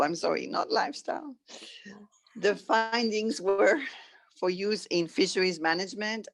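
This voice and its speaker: A middle-aged woman from France with a French accent, reading a written personal story aloud as a guest. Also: low voice.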